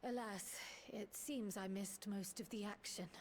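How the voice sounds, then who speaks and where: A woman's voice: medium pitch, steady tone, measured pace, darkish tone, slight background noise, natural voice. A woman voicing a polite, determined young scholar and magic user in a video game, speaking in a formal, articulate, earnest way. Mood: courteous. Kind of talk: video game cutscene acting.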